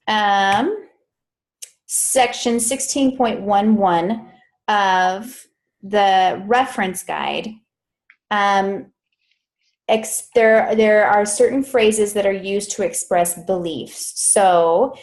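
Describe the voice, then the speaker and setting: A woman's voice: medium pitch, drawn-out words, darkish tone. A woman coaching students in a live online grammar lesson. She is chatty, often stops to correct herself and laughs at small mishaps. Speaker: Spanish interpreting coach.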